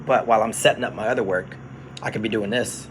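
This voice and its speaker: A man who works as a radio DJ, chatting casually on a phone livestream from his studio, relaxed and explaining things to viewers. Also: on the low side, fast, slight background noise, rough recording.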